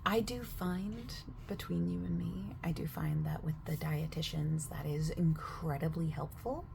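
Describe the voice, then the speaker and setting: A woman speaking softly in an ASMR roleplay as a gym staff member, chatty and overexplaining, often dropping into a whisper partway through a sentence. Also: deep voice, fairly even intonation, unhurried, gravelly, noisy background. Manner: soft-spoken, slipping into whispers mid-sentence. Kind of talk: soft-spoken ASMR sales roleplay.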